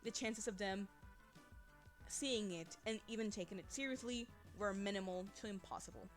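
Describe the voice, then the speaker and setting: Young female streamer, Spanish first language; chatty, rambly, quick to laugh; mid-pitched; faint music bed. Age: young adult. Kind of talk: casual livestream chat. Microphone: desk microphone.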